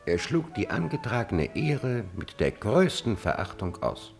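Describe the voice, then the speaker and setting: Scheming chamberlain for classical radio drama: deep, husky, lively inflection, servile yet cold and insinuating. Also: male voice, unhurried, faint music behind, exaggerated voice. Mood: dry report. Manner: insinuating, theatrical. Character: scheming male court chamberlain, servile, cunning, cold-bloodedly ambitious. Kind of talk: German classical stage drama acting.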